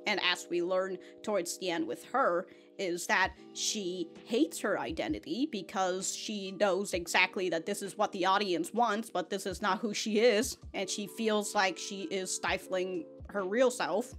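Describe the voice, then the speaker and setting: Young woman, anime reaction host, casual rambling chat, excitable, close studio mic. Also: mid-range voice, measured pace, hardly any pauses, soft background music. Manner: rambling, many restarts and laughs, excitable. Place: small room, home studio. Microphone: foam-covered studio mic in front.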